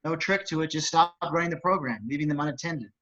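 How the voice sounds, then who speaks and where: A man plays a stubborn, exasperated computer technician at a table read. He talks fast and emphatically in a fairly high male voice. The sound is dull, like a home computer mic. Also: fairly bright tone, lightly acted. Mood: matter-of-fact. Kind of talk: amateur screenplay table read.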